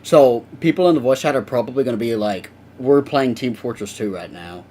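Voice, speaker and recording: A man's voice: medium pitch, fairly quick, warm tone, slight background noise. A young man chatting casually with viewers on a live stream while he works, rambling and swearing now and then.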